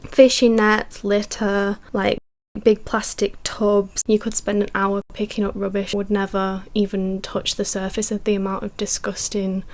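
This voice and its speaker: A woman in her twenties giving earnest interview answers, with a habit of saying "like". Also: medium pitch. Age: 20s. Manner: earnest, uses filler "like".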